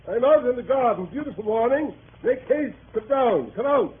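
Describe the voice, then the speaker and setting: An actor playing a bluff, jovial country squire who bosses folk along, in 1930s radio comedy. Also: male voice, extremely high pitch, lively intonation, moderate pace, a little hiss or hum. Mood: hearty. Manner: bluff, commanding, folksy. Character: hearty, jovial country squire, brisk host. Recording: tinny 1930s radio recording.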